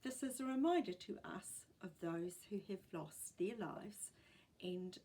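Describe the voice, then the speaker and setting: Husky New Zealand woman reading a story. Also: medium pitch, lively intonation, measured pace, mellow. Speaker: storyteller reading a children's book. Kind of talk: expressive storybook narration.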